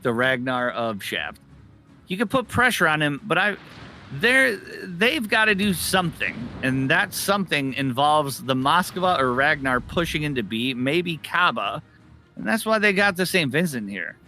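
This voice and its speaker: A man gives live commentary on a video game match in a sharp, bright voice pitched a little high. His delivery is excitable and exclamatory, with faint music behind him. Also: a little slow. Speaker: esports caster.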